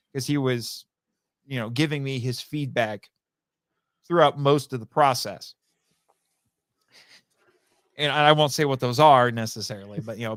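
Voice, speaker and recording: A talkative, animated man chatting casually as a podcast guest and often amused. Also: mid-range voice, dry recording, clean studio sound. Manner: animated, long-winded, laughs often. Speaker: podcast guest, audio drama writer and voice actor. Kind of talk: casual interview chat.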